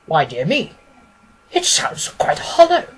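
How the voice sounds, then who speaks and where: A man's voice: sits high, wildly swooping pitch, slow, breathy edge, voice pushed up, heavily acted. A man voicing a solemn, respectable bank director in a storybook, mournful and stiffly formal. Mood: surprised.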